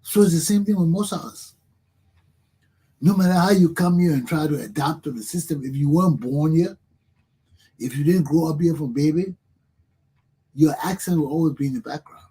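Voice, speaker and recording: Liberian man with a husky voice. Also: fairly high pitch, normal speed, pauses often, dark tone, rough recording. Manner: preachy, repetitive, emphatic. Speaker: talk show host. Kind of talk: motivational talk show monologue.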